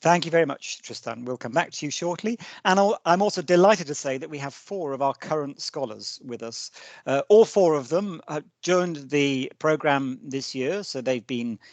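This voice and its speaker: A middle-aged man hosts a webinar over a video call, genial and given to light jokes. His voice sits at an ordinary pitch. It sounds dry and close, with no room around it. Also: expressive, moderate pace, no echo. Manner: genial, lightly joking, with frequent restarts. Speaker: webinar host, senior scholar. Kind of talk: hosting a live webinar.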